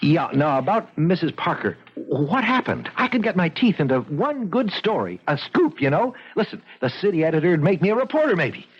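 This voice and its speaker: An American actor playing a nervous, eager would-be reporter in his thirties in a 1970s radio drama, stammering in a husky voice. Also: fairly high pitch, brisk, hardly any pauses. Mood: eager, pushy. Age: 30s. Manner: jittery, stammering, animated. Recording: dull vintage radio broadcast.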